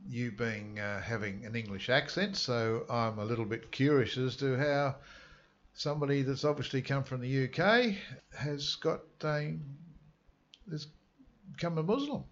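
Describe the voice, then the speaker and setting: A man's voice: medium pitch, unhurried, lo-fi sound. A man hosting a radio interview in a studio, friendly and a little hesitant, often restarting his sentences. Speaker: radio host.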